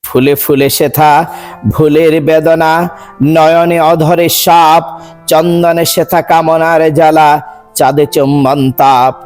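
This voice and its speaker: A man reciting a poem in a measured, rhythmic, declamatory way. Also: a little high, level tone, darkish tone, faint music behind. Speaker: poetry reciter. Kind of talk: dramatic recitation of a Bengali poem.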